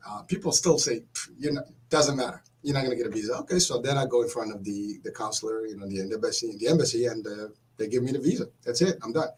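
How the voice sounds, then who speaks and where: A man in his fifties from Morocco, telling his life story in a casual chat, animated and repeating words as he goes. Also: mid-range voice, quick pace, hardly any pauses, rough recording.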